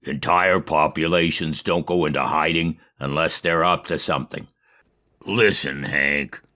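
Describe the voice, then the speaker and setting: A man's voice: very deep voice, fairly even intonation, a little slow, bright, forceful tone, voice pushed down, heavily acted. A man playing a suspicious, hard-hearted military colonel, stern and commanding, in an audio drama. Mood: cold suspicion.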